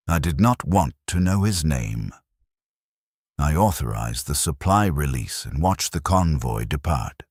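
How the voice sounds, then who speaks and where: A man narrating a scripted first-person war story as a stoic, weary military clerk, in a somber, measured way. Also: a bass voice, slightly rough, dry recording, clean studio sound. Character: weary, stoic military supply clerk recounting wartime losses.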